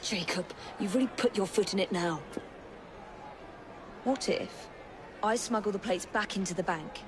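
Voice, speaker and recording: An actress with an English accent playing a brisk, sharp-witted, determined young Victorian woman in a video game. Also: medium pitch, noisy background, close to an everyday voice. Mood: resolute. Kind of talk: video game cutscene acting.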